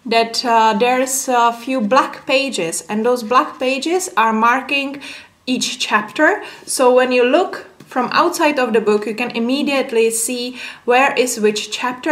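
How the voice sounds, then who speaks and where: A woman's voice: a little high, a bit bright. A young woman with a Czech accent, a native Czech speaker, casually reviewing a book in a small room with many filler sounds. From Czech Republic. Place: small room with window, indoors. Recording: echoey room.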